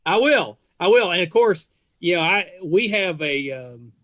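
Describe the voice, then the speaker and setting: A man's voice: sits high, expressive, unhurried, fairly bright tone, no room sound. A middle-aged man hosting a casual interview, speaking in a folksy, chatty way. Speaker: podcast host.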